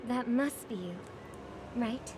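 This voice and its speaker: A woman's voice: a little high, slow, noisy background. A woman playing a composed, polite and earnest young lady in a video game. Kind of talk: English video game voice acting. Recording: game audio with loud background music. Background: music.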